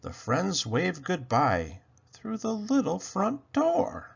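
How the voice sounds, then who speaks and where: A man reading a rhyming picture book aloud to children in a theatrical, deliberate way. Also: high-pitched, wildly swooping pitch, gravelly, husky, lo-fi sound. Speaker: children's storybook reader.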